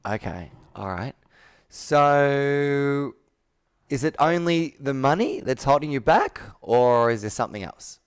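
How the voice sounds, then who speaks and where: Clear-voiced male sales coach asking questions. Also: medium pitch, animated, slow pace. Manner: probing, checking back and repeating answers. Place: indoor room with whiteboard, on a video call. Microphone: video call.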